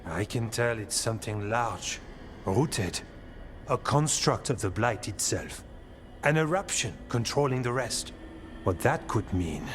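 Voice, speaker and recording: An actor playing an earnest, hopeful warden scholar in a fantasy video game, speaking in a thoughtful, halting way. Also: male voice, medium pitch, animated, soft background music, close to an everyday voice. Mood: grave. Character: earnest male warden scholar, hopeful, devoted, expert on Blight. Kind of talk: video game dramatic acting.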